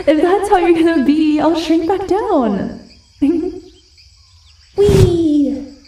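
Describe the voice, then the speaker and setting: Woman doing a shy wolf girl giantess for asmr roleplay, soft mellow tone, sounds far off and super echoey. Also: extremely high pitch, heavily acted. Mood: playful, affectionate. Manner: slow, hesitant, stammering when flustered. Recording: heavily echoing, distant-sounding voice.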